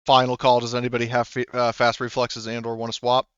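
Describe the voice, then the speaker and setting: A man working as game master in a tabletop role-playing game, casually narrating and thinking aloud haltingly through the rules. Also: mid-range voice, monotone, clean tone, dry recording, dull audio.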